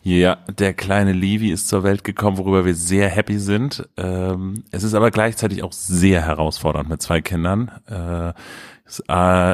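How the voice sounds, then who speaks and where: A man in his thirties with a cold, co-hosting a podcast in a relaxed, rambling way. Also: low voice, no room sound, clean studio sound. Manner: relaxed, rambling with many fillers. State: has a cold. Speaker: podcast co-host. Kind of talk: casual podcast chat.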